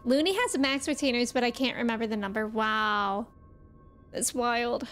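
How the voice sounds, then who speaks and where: A woman's voice: fairly high pitch, normal speed, a bit bright, faint music behind. A woman hosting a game livestream, chatting casually and reacting playfully in an informal way. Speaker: livestream host playing a video game.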